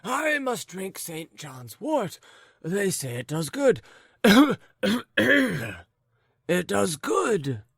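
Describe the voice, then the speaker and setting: A high, wandering old man's voice, put on by a male reader, forgetful and coughing, drawn out into a wheedling chant. He rambles slowly over his words, recorded dry and close. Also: sing-song, a bit bright, no room sound, heavily acted. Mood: rambling.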